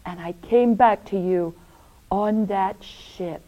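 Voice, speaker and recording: A woman's voice: mid-range voice, slow, warm tone, natural voice, poor audio. A woman voicing an earnest, apologetic man returning to his sweetheart in a children's story.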